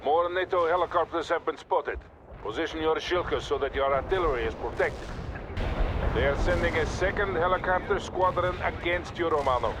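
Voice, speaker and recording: Loud battle noise surrounds a man playing a stern Soviet field commander in a war game. His voice sits fairly high for a man, with a Russian accent. He gives clipped, formal orders and stays composed and in charge. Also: normal speed, close to an everyday voice. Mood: urgent orders. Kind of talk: scripted video game military radio dialogue. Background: artillery fire, explosions and gunfire.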